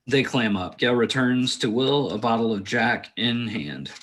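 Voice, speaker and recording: A man's voice: medium pitch, measured pace, a bit bright. A man directing a table read, reading stage directions aloud and adding casual asides.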